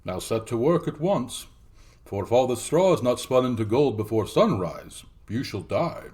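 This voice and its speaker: A man's voice: mid-range voice, lively intonation, normal speed, darkish tone, lightly acted. Man playing an imperious, greedy storybook king. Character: greedy, commanding fairy tale king. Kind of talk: storybook character voice.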